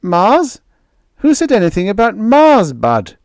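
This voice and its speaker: A man reading an audiobook, voicing a big, hearty spaceman in his forties with a booming, blunt, slangy way of talking. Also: huge pitch swings, unhurried, no room sound, clean studio sound, heavily acted. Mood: matter-of-fact. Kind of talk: audiobook character voice.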